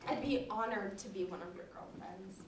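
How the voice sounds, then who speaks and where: A woman's voice: mid-range voice, normal speed, natural voice. A woman playing a polite young woman on an awkward first date in an amateur stage comedy, recorded in an echoey theater from far off. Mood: awkward, hesitant. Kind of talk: amateur one-act comedy stage acting. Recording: distant mic, echoey noisy school theater.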